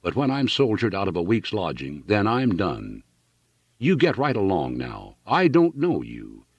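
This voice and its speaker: A man voicing an irate, vulgar landlady in an audiobook, scolding loudly in uneducated slang. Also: deep voice, huge pitch swings, measured pace, husky, no room sound, heavily acted. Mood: indignant, threatening. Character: irate, shrill, vulgar landlady, blustering and hostile. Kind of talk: audiobook character voice.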